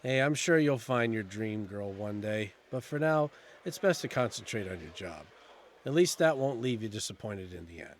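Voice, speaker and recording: A man's voice: fairly low pitch, lively intonation, moderate pace, a little hiss or hum, lightly acted. An actor playing a cynical, chummy male office coworker in an audio drama, blunt and casual. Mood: matter-of-fact.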